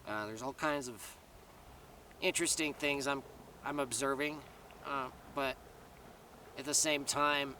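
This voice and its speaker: A man talks in a loose, meandering vlog monologue, circling back with restarts and "you know"s. His voice is bright and a little raspy, picked up from a few feet away with a faint hiss underneath. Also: mid-range voice, steady tone, measured pace, pauses often, distant mic. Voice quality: slightly raspy after long talking. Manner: meandering, many fillers and restarts. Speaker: vlogger. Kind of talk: casual rambling monologue to camera.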